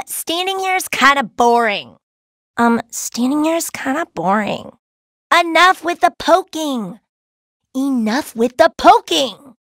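A woman's voice: sits high, fairly bright tone, dry recording, studio quality, exaggerated voice. A woman voicing a brash, playful, laid-back young female gem warrior in a cartoon video game, with a cheeky, slangy, exclamatory delivery. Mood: impatient. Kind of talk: cartoon video game voice acting, short barks.

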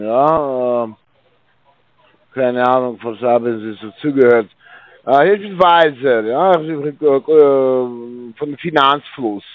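A man, making a polite, halting sales call as an advisor. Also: mid-range voice, dry recording, narrow, tinny audio. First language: not German. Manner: hesitant, polite. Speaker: cold caller posing as financial advisor.

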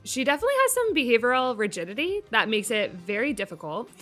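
A woman's voice: on the high side, big pitch swings, fast, fairly bright tone, soft background music. A woman in a casual improvised role-play as a behavior analyst, phrasing things hesitantly.

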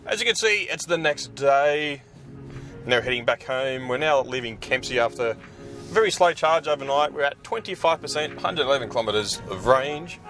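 A middle-aged Australian man talks to the camera from behind the wheel, chatty and easygoing, trailing off into asides. His voice sits at an ordinary middle pitch. Music plays clearly underneath, and the sound is from a camera mounted in the car. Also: lively intonation, normal speed. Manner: chatty, talks while driving, loose asides and fillers. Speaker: EV vlogger. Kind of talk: casual road trip vlog narration. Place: inside a moving car. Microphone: dash-mounted camera. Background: background music.

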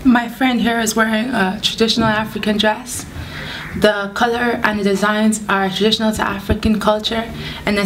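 Teen girl, earnest explainer, medium pitch, light room hiss. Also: low-quality recording. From Nigeria family, lives in Ireland. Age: teens. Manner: earnest, explanatory, some ums. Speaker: student presenter. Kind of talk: explanatory school presentation. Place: school library, indoors.

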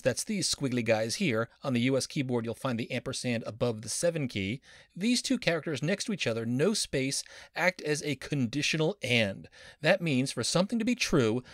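A man's voice: medium pitch, no echo, clean studio sound. A man teaching a coding lesson as a professor, playful and enthusiastic, with pop-culture jokes. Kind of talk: screen-recorded coding lesson.

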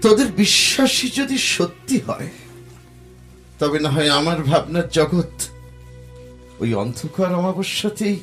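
A man's voice: on the high side, sing-song, takes it slowly, long pauses, a bit bright, soft background music, heavily acted. A man playing a skeptical friend of ghost hunters in a horror audio drama. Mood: resolute.

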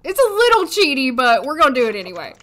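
Hoarse woman in her 30s, high bright voice, rambling through her game at home. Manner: chatty, thinking aloud, frequent little laughs. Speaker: streamer. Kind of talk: casual gameplay commentary. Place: home room, seated at desk.

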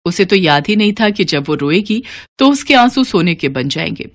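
A woman's voice: on the low side, animated, moderate pace. A woman reading scripted storybook narration as the narrator of a cartoon tale.

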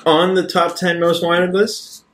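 A man's voice: high voice, flat delivery, measured pace, echoey room. A man playing a tabletop role-playing game, improvising casually.

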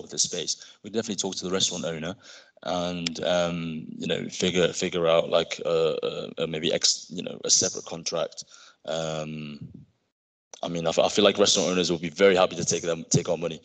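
A man giving a casual spoken answer in a lecture hall, filling pauses with "um" and "you know" and restarting his words. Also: low voice, a bit bright. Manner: rapid, with many fillers and restarts. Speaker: speaker answering a question.

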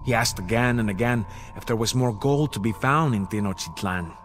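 An actor playing a proud, grave Aztec jaguar warrior, narrating a game cutscene in a solemn, measured storytelling manner. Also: male voice, fairly low pitch, faint music behind, close to an everyday voice.

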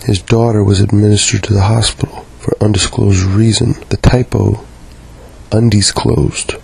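A gravelly, husky man in his thirties delivers a sour, deadpan diary rant, swearing freely. His voice sits a little low and rough, and it is recorded by a camera mic in a living room. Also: normal speed. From Canada. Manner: deadpan, profane ranting. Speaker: video diarist. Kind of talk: scripted sardonic monologue.

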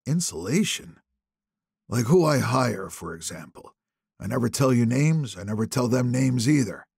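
A wealthy older man acted out by a male narrator speaks with courteous command in an audiobook. His voice is husky and creaky, in a very dry recording. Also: mid-range voice, lively intonation, normal speed, a little mellow, no room sound. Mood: probing. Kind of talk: audiobook character dialogue.

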